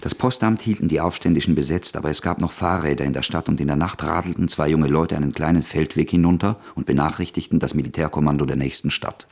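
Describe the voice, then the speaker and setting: Very deep, husky male radio narrator. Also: fast talker, hardly any pauses, a little mellow. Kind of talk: scripted literary radio play narration.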